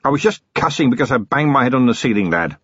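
A man voicing a seasoned, gruff police commander in an audiobook, dry, matter-of-fact and fatherly. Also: medium pitch, animated, quick pace, dull audio, voice pushed up. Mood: reassuring.

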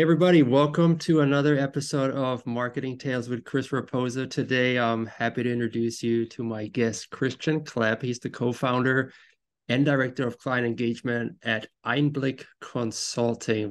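A man's voice: medium pitch, steady tone, moderate pace. A man hosting a podcast interview, asking questions in a friendly way with many fillers. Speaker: podcast host.

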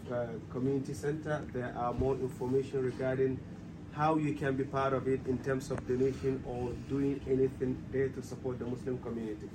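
A man in his forties from Ghana, guest speaker at an evening talk, speaking softly and haltingly with many pauses and fillers. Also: mid-range voice, background noise. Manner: soft-spoken, hesitant with many fillers. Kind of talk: casual lecture talk.

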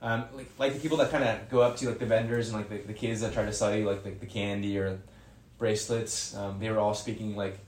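A man's voice: on the low side, fairly even intonation, fast talker, a little mellow. A young man who is a guest on a podcast, chatting casually in a rambling way and correcting himself. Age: young adult. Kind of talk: casual interview chat. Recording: echoey room.

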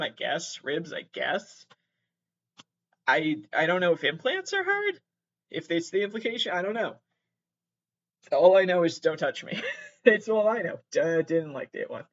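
Male comedic commentator, swooping and animated, halting delivery with sweary, exasperated asides, slightly muffled recording. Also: on the high side. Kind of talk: casual comedic commentary, reading messages aloud.

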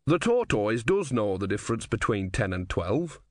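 A man's voice: lowish voice, very animated, no echo, studio quality. A man voicing a plump, earnest young man who speaks carefully as if repeating what he heard. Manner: careful, halting, repeating words.

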